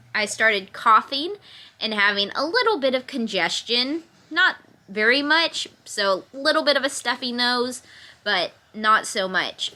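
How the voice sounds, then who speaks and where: Vlogger, 20s, feverish and congested, light laughs, crisp bright tone, bedside camera. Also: female voice, medium pitch. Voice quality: occasional cough, slightly stuffy nose. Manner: chatty, laughs through her complaints. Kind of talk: casual chat to camera. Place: in bed at home, bundled in a sweatshirt.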